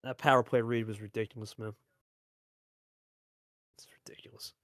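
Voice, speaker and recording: A man's voice: lowish voice, little pitch movement, normal speed, fairly bright tone, no echo, studio quality. A man hosting and commentating on a card game match, hyping it up and repeating phrases for emphasis. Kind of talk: live play-by-play commentary and player interviews.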